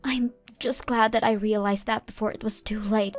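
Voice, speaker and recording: A woman's voice: fairly high pitch, fairly even intonation, moderate pace, lightly acted. A woman playing a disappointed, reproachful young ex-fiancée in a manga dub. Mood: hurt, reproachful. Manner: reproachful, hurt. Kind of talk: manga dub character acting.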